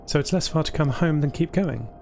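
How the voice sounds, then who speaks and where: A man's voice: fairly high pitch, brisk, a little mellow, soft background music, pitched above the performer's own voice, lightly acted. A man voicing a cheerful, plain-spoken male traveller in an audio drama. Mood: tired. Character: adventurous, cheerful male traveller, companion to a robot horse.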